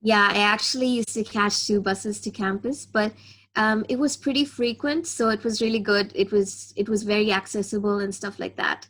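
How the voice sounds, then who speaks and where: A woman's voice: medium pitch, fairly even intonation, moderate pace, fairly bright tone. A young woman who grew up in Saudi Arabia, an easygoing student guest on a video call who says um often. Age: young adult. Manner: easygoing, with frequent ums.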